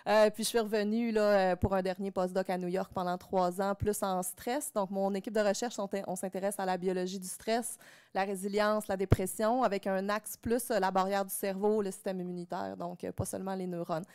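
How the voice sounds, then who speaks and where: Woman, middle-aged, Québécois accent, smooth clear voice, relaxed chatty manner. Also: medium pitch. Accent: Québécois French. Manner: relaxed and chatty, quick self-deprecating remarks. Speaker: professor panelist. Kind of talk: casual panel talk. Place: conference hall stage.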